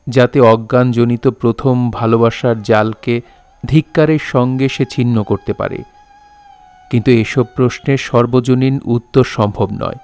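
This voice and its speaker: An actor playing a dignified literary storyteller in an audio drama, speaking formally and with measured care. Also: male voice, medium pitch, darkish tone, close to an everyday voice. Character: dignified storytelling landlord-poet, gently scolding his village listeners. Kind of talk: Bengali audio drama narration, literary diction.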